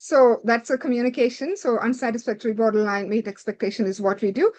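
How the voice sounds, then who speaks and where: High-voiced woman tutoring exam candidates. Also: fairly even intonation, fast, darkish tone. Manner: direct, instructive, repeats points with "so".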